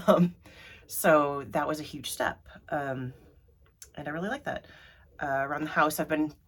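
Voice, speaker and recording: Low-voiced woman vlogger, stuffy and throaty from allergies, loose rambling delivery. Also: measured pace. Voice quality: throaty, froggy. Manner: rambly, many ums and restarts. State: congested from allergies. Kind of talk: rambling casual vlog.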